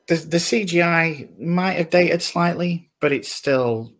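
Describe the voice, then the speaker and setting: A man's voice: fairly high pitch, slow pace, fairly bright tone. A man talking informally and enthusiastically as a panelist in a casual podcast chat.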